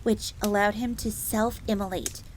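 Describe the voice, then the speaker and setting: A woman's voice: mid-range voice, moderate pace, natural voice. A woman playing an earnest, devoted young fox maid in a scripted fantasy roleplay audio drama, bookish and speaking of herself in the third person. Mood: informative. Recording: steady ambient noise, crackling fire.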